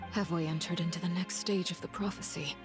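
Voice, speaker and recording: A woman's voice: lowish voice, fairly even intonation, fairly quick, natural voice. An actress voicing a composed, polite woman with a formal, probing, coolly menacing manner in a video game. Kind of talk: game voice acting. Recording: music behind the voice.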